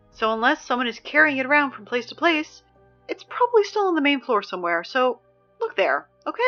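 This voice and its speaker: A woman's voice: sits high, dull audio. A woman playing an exasperated teenage girl who reads the school morning announcements, sarcastic and easily flustered. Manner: sarcastic, flustered, prone to outbursts. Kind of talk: comedic audio drama acting.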